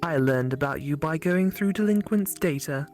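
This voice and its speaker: A mysterious spirit girlfriend from a visual novel, acted out by a woman. She speaks slowly and deliberately, with a possessive, otherworldly calm. Soft music plays faintly behind her. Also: low voice. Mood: coolly possessive. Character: possessive female phone-dwelling spirit girlfriend, slow and mysterious.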